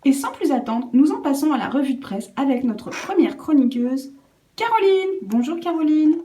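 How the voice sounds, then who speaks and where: A woman with a high, mellow voice presents a scripted radio press review. Her delivery is formal and carefully read. The room adds a clear echo around her words. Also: animated, normal speed, slight background noise. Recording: roomy, echoing room sound.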